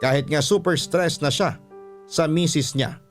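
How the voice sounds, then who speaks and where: A man's voice: fairly high pitch, measured pace, faint music behind. A man narrating a scripted first-person story in classic radio drama style.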